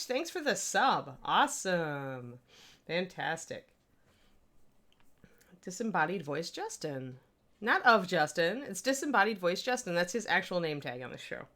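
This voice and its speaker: A woman's voice: on the low side, a bit bright. A woman with a Midwestern accent, chatting casually and rambling as she teaches miniature painting on a livestream.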